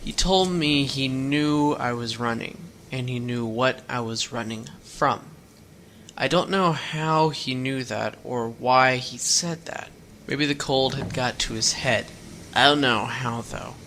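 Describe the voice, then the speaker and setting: Teen runaway boy, guarded but earnest, bright voice, faint hiss behind. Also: medium pitch, takes it slowly. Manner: plain-spoken, rambling storyteller with folksy words. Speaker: hitchhiking runaway. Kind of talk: radio drama acting.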